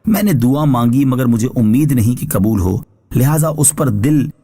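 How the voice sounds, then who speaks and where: A man giving formal religious commentary as a scholar, reading prepared scripture translation and explanation in a measured, instructive way. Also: mid-range voice, expressive, mellow. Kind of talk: religious exegesis, read from a prepared text.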